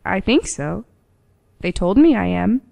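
An actress playing a cool, defiant daughter with attitude in radio play dialogue. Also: female voice, mid-range voice, expressive, unhurried, gravelly, warm tone.

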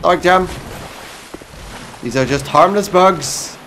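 A man from Belgium with a fairly high voice comments casually on a video game. He talks to himself and swears freely as he plays. Clear ambient noise sits behind his voice. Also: slow pace, a bit bright. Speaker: gameplay commentator. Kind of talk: casual blind playthrough commentary.

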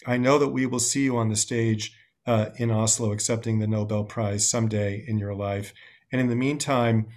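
A man in his sixties hosting an interview over a video call, asking measured questions and thanking his guest in formal terms. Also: on the low side, fairly even intonation, darkish tone. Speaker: host. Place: home room indoors.

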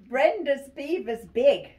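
Woman, 80, English accent. Unhurried, amused picture-book reading prone to giggles, high-pitched, recorded at home with faint noise. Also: dark tone. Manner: giggly, often breaking into laughter while reading. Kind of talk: amused read-aloud of a rhyming picture book. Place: small cluttered living room. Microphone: phone camera a few feet away. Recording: faint noise, clicks, home phone video.

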